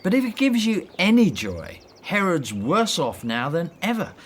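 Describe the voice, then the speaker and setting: An actor playing a breezy, flippant male disciple in a radio drama, brisk and dismissive with a theatrical relish for gossip. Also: sits high, wildly swooping pitch, slightly rough, heavily acted. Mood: dismissive, scornful.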